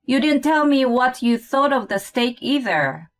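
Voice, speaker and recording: A woman's voice: high voice, lively intonation, unhurried, soft background music, pitched above the performer's own voice. A woman voicing a cheerful, eager mother in a story, with a sweet, insistent manner. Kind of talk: acted story dialogue.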